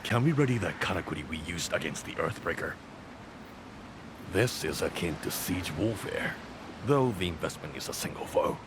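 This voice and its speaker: A gruff former samurai general in a game cutscene. His delivery is blunt and commanding with lively, swinging intonation, over clearly noisy ambience. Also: deep voice, normal speed. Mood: urgent, commanding. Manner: blunt, decisive military delivery. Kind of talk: video game cutscene acting. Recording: clear noise and ambience behind.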